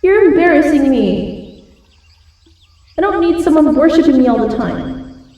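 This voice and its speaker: A woman voicing a shy giantess wolf girl in a slow, hesitant ASMR roleplay. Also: high-pitched, very animated, creaky, dark tone, lo-fi sound, full character voice. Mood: flustered, embarrassed. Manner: slow, hesitant, stammering when flustered. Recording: heavily echoing, distant-sounding voice.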